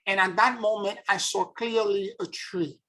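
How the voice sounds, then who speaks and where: A man's voice: high-pitched, steady tone, normal speed, fairly bright tone. A man from Haiti, a spiritual teacher, giving an earnest, introspective monologue and searching for his words as he goes. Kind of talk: reflective spoken monologue.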